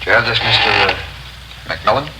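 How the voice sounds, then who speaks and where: A man's voice: fairly low pitch, lively intonation, brisk, lightly acted. A man playing a polite, self-assured American drug dealer in a 1950s radio drama, precise and businesslike in his speech. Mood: instructing, businesslike. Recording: very noisy old radio recording.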